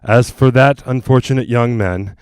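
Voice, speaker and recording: A man playing a grave, evasive doctor who speaks in a measured, apologetic way, in the style of a 1940s radio drama. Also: mid-range voice, a little mellow, clear, resonant tone, no echo, natural voice. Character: grave male doctor and ghost hunter, composed, evasive.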